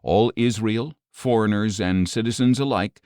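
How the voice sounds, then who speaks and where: A man narrating scripture from a script in a measured, solemn manner. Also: on the low side, a little mellow, clear, resonant tone, no room sound, studio quality. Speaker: audiobook Bible narrator.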